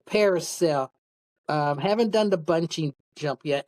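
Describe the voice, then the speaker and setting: A woman's voice: deep voice, darkish tone. A middle-aged woman speaking as an interview guest, telling personal anecdotes in a candid, rambling, casual way. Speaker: podcast guest, public speaker.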